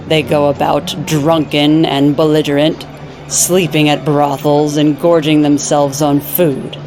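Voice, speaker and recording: A woman playing an older half-elf nun, giving measured, earnest warnings in a fantasy audio drama. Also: deep voice. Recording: music behind the voice.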